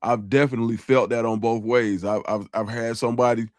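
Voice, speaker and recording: A man co-hosting a casual live-stream podcast, reading viewer donations aloud in a relaxed, slangy, grateful way. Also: mid-range voice, a little fast, dry recording. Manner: relaxed and grateful, slangy, calls people dog and bro. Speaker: show host reading super chats.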